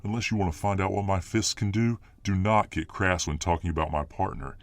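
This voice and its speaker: A man's voice: low voice, a little fast, dry recording. A man playing a dominant, boastful, hot-tempered muscular fireman boyfriend in an ASMR roleplay audio drama. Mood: threatening. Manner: boastful, cocky swagger.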